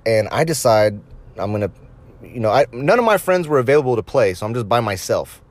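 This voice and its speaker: A man's voice: mid-range voice, very animated, fairly quick. A man around thirty hosting a podcast, telling anecdotes casually with crude swearing and amusement at his own stories. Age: late 20s to early 30s. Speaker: podcast host. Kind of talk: casual anecdotal storytelling to listeners.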